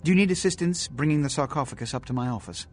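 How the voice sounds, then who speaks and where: An actor playing a haughty, self-important vampire prince in a video game, speaking in a pompous, grandiloquent, commanding way. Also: male voice, a little high, racing, a little mellow, slight background noise, close to an everyday voice. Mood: solemn. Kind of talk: video game character acting.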